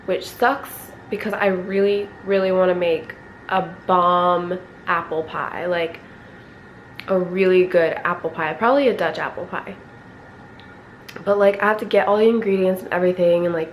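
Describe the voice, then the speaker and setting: A young woman vlogging at home with a raspy voice from a lingering cold, rambling casually and clearing her throat often. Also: lowish voice, steady tone, moderate pace, slight background noise, rough recording. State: recovering from a cold, coughing. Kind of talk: casual vlog chat. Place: at home, indoors.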